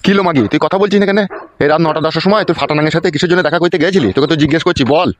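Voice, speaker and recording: A man's voice: fairly high pitch, racing, slight background noise, natural voice. A man voicing a jealous, bullying older village husband in a comedy cartoon, cursing and interrogating harshly. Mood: accusing.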